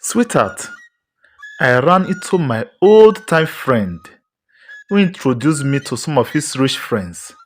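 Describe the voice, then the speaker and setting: Casting: woman playing young male lover in a moral tale, smooth-talking, very low pitch, lively intonation. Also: slow pace, exaggerated voice. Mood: sweet, reassuring. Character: smooth-talking, lovestruck young man with sudden wealth. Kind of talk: moral folktale storytelling.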